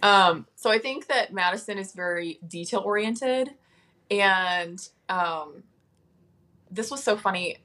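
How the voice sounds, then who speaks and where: A woman's voice: mid-range voice, slow, sharp tone. A woman guest on a podcast, chatting casually and self-deprecatingly, with many likes and ums.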